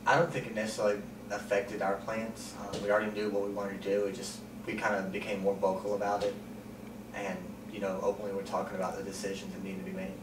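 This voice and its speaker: Calm young man interviewee, low even delivery, recorded far off with noise. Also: quick pace. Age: 20s. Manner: calm, plain-spoken, frequent ums. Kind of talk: sit-down interview. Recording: roomy, distant mic, noisy.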